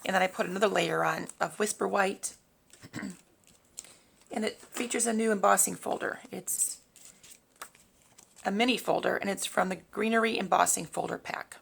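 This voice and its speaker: A woman hosting a casual craft tutorial in a raspy, hoarse voice, talking informally and a little haltingly as she works. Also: mid-range voice, fairly even intonation. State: hoarse, throat-clearing from long talking. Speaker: host of a papercraft tutorial. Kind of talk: casual craft demonstration, talking while working.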